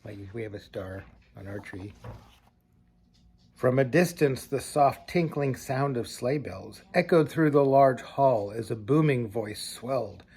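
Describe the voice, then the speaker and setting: An older man reading a children's storybook aloud like a grandfather, with expressive, deliberate phrasing and casual asides. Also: mid-range voice.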